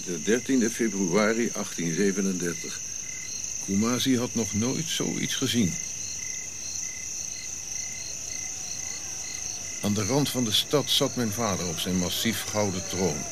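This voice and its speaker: A reflective African prince played by a man tells of his royal past in a radio play. His voice is on the low side, his phrasing eloquent and measured, with pauses. Crickets and clear background noise sit behind him. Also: natural voice. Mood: reflective, wistful. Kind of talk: audio drama narrative monologue.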